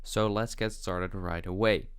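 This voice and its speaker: A man's voice: low voice, fairly even intonation, a little slow, clear, resonant tone. A young man hosting a casual how-to walkthrough, speaking in an improvised, hesitant way with frequent fillers. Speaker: tutorial host.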